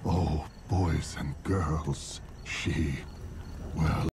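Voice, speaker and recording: An actor playing a cold, bitter serial killer in a dark video game cutscene, with a gravelly voice and a menacing, deliberate manner. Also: male voice, fairly even intonation, slight background noise, full character voice. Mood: brooding, menacing. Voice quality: gravelly, low. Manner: slow, menacing, deliberate monologue. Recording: loud music under the voice.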